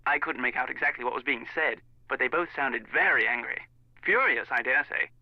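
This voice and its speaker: A man's voice: mid-range voice, brisk, dry recording. An actor playing a fussy, indignant Englishman in a video game, speaking formally with a British accent through a telephone filter. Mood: gossipy disdain. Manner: formal, pompous, clipped disapproval. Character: fussy, indignant English wedding guest on the phone. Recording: muffled narrow phone line sound.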